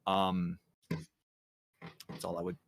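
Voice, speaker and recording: A man's voice: low-pitched, completely flat delivery, takes it slowly, slight background noise. A man co-hosting a casual podcast, talking loosely with lots of fillers and swearing. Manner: loose, profane, trailing off with fillers. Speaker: podcast co-host.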